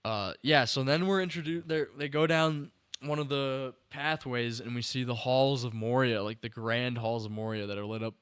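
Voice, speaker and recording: Young male streamer, mid pitch, smooth clear voice, dry close mic, a bit tired, rambly. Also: steady tone, moderate pace, hardly any pauses, a bit bright, no echo. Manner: rambling, restarts sentences, talks to chat viewers. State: slightly tired. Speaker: podcast host livestreaming a film breakdown. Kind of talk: casual enthusiastic film commentary to chat.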